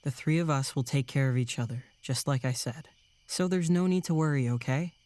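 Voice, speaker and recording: Actor playing a reassuring young man at camp, middle pitch, steady, dry, supportive, audio drama. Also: brisk, no room sound, natural voice. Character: steady, reassuring young male camp counselor, dryly teasing.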